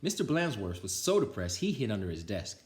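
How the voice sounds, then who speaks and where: A man reading a children's picture book aloud with an animated, theatrical delivery. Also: on the low side, fairly quick. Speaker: storytime reader. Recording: roomy, echoing sound.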